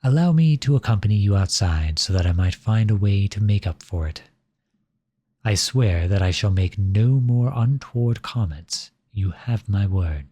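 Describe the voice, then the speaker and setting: Man acting a deep, husky, flirtatious royal. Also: normal speed, no room sound. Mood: earnest, coaxing. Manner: courtly, formal, theatrically flattering speech. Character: flirtatious, silver-tongued royal man at a masquerade ball. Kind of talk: ASMR roleplay, scripted romantic monologue addressed to listener.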